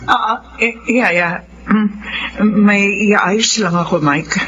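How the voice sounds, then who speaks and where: A woman's voice: medium pitch, slow pace, a bit bright. An actress playing an overprotective, anguished middle-aged mother with a Filipino accent in a melodramatic radio drama. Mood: subdued. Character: anguished, overprotective mother of an ailing grown son. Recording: muffled radio recording, faint hum.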